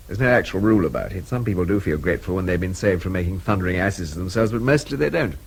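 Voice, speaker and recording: Brisk, sardonic British man. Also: sits low, expressive, vocal fry, a little hiss or hum. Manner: sarcastic, clipped, superior. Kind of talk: radio drama acting.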